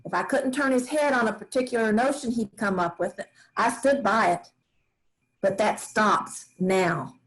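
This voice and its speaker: A woman's voice: mid-range voice, measured pace, fairly bright tone, close to an everyday voice, poor audio. A woman reading the part of a stern rural grandmother, in a staged play reading. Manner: folksy, firm, drawling country talk. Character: stern rural grandmother, family matriarch, firm.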